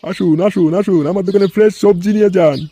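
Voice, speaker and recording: A man's voice: high voice, quick pace, warm tone. A man voicing a grumbling, foul-mouthed comedy cartoon man, given to blustering exclamations. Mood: grumbling, irritated.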